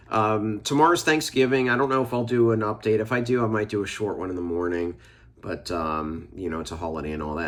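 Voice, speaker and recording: A man with a very low voice, audibly stuffed up from a cold. He rambles through a casual book vlog update, thinking aloud as he goes. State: congested from a cold. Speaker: book vlogger.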